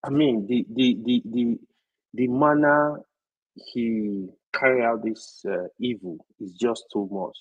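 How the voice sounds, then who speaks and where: A Nigerian man on a panel talk, speaking earnestly and with many halting restarts. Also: medium pitch, lo-fi sound. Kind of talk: panel discussion.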